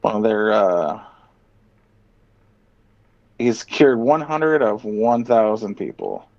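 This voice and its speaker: Lively, profane man on muffled audio. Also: lowish voice, slow. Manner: profane, sardonic, opinionated. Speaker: podcast host. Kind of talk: casual chat, reading out items and reacting.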